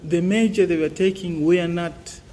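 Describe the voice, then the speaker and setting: Young man, earnest and halting; echoing room, faint hiss. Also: high voice, fairly even intonation, mellow. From Tanzania. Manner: earnest, halting, many fillers and restarts. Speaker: student giving advice. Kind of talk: casual motivational talk.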